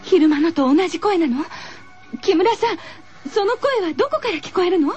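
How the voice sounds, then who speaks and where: A woman's voice: extremely high pitch, expressive, moderate pace, a little mellow. A woman playing a startled female schoolteacher who tries to stay composed as she addresses her pupil in a horror radio drama. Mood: alarmed, urgent. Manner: addresses her pupil in feminine, instructive speech. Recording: dull lo-fi radio recording with music.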